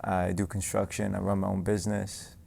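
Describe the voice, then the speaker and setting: Young new boxer guy, deep gravelly voice, pretty flat, lots of "you know". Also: a little fast. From New York. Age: young adult. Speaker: guest, amateur boxer. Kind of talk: casual interview. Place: boxing gym.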